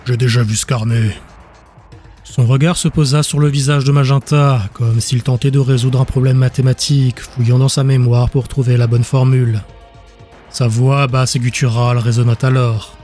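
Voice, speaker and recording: A man reads a dramatic fantasy audiobook as narrator. His voice sits at a medium pitch, with music clearly audible behind the scripted storytelling. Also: level tone, normal speed, mellow.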